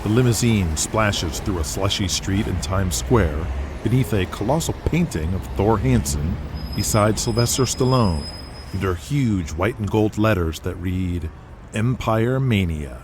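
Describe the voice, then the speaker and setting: A man's voice: on the low side, unhurried, background noise. A man narrating an audio drama from a script in a dramatic style.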